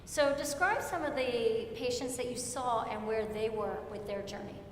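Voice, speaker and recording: A woman in her fifties moderating a community panel conversation. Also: moderate pace. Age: 50s. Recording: echoey hall, rumbling background noise.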